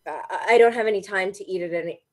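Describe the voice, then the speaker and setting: A woman's voice: mid-range voice, fast talker. A woman hosting a casual comedy livestream chat, exclaiming and making fun of herself as she goes. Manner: animated, exclaiming, self-mocking. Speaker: host of a cooking-show discussion stream.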